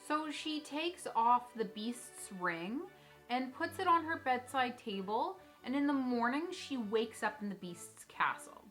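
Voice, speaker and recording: Female video host, casual storytelling, chatty and expressive, bright voice, background music. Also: on the high side, a little slow.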